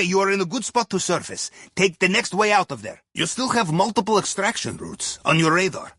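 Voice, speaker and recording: A genial Russian ex-navy submarine captain handling a heist in a video game. His accented voice is fairly high and husky, recorded dry and close. He gives instructions in a wry, conspiratorial way, often tagging them with a question. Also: pushed and bright, dry recording, full character voice. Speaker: mission handler. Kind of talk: video game mission dialogue over comms.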